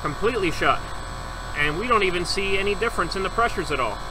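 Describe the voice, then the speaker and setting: A man's voice: high-pitched, animated, quick pace, background noise. A man working as an HVAC service technician, methodically explaining each reading step by step in a hands-on troubleshooting tutorial, recorded outdoors beside an AC condenser.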